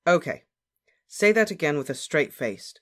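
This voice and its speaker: A man's voice: sits high, big pitch swings, a little fast, a bit bright, voice pushed up. A man voicing a practical, exasperated teenage boy wizard with an emphatic, impatient delivery for a storybook.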